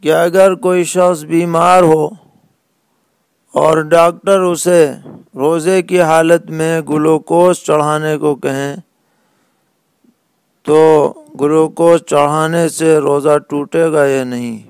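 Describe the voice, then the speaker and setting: A man who speaks as an Islamic scholar, answering religious questions in a measured, deliberate way with pauses mid-sentence. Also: on the high side, steady tone, clean tone, no room sound. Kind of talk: religious question-and-answer lecture in plain rulings.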